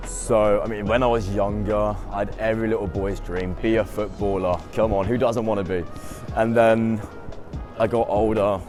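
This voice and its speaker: A man's voice: on the low side, flat delivery, normal speed, a little mellow, soft background music. A young man in his twenties, a students' union officer who grew up in the UK, answering interview questions in an upbeat, chatty way with lots of "I mean" and "um". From United Kingdom. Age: 20s.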